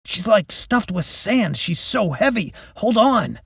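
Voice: mid-range voice, big pitch swings, slow, creaky, fairly bright tone, slightly rough, no echo, studio quality, voice pushed up, heavily acted. A narrator voicing a small, put-upon schoolboy in an audiobook, exasperated, snappy and world-weary. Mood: strained, grumbling.

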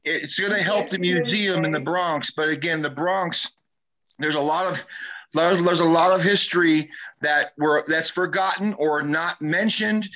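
A man's voice: on the high side, unhurried, sharp tone, poor audio. A middle-aged man, a guest historian, talking at length in an online show interview, often repeating and restarting his phrases.

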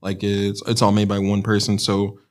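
A man's voice: fairly low pitch, completely flat delivery, normal speed. A man in casual podcast chat, laid-back and explaining at length with frequent fillers. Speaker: podcast co-host.

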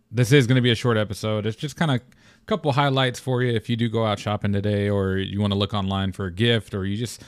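A young man hosting his own podcast alone, with a deep morning voice, talking in a rambling, relaxed way with lots of fillers and restarts. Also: no room sound, clean studio sound. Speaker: podcast host. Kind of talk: casual solo podcast talk.

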